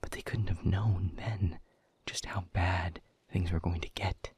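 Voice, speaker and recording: Man voicing a very husky, bitter demon. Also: fairly even intonation, a little mellow, no room sound, exaggerated voice. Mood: grim, explanatory. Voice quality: husky, breathy low voice. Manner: sardonic, emphatic, deliberate phrasing. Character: bitter, world-weary male demon warning of doom. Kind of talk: scripted audio roleplay monologue.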